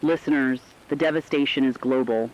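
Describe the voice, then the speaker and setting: A man playing a sombre radio war correspondent, delivering a scripted news bulletin in a solemn, dramatic, deliberate way. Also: mid-range voice, steady tone, natural voice. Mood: grave, urgent. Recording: dull lo-fi radio sound, faint noise.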